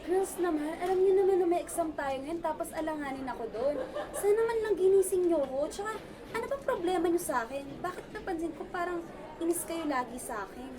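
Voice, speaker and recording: A woman's voice: very high voice, fairly quick, far from the mic, crowd noise. A woman with a Filipino accent playing a whiny young provincial college student in an amateur radio drama. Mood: hurt. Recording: noisy amateur recording.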